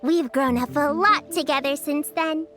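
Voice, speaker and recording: A sweet little girl who mothers her friends, very high voice, faint music. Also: unhurried. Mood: cheerful. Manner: sweet, earnest, looks after her friends. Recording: game audio with soft music underneath.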